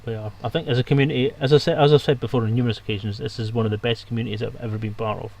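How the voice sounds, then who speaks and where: A man's voice: mid-range voice, fairly even intonation, racing, gravelly, darkish tone. A man hosting a livestream, chatting casually with his guests and viewers as he wraps up. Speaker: livestream host.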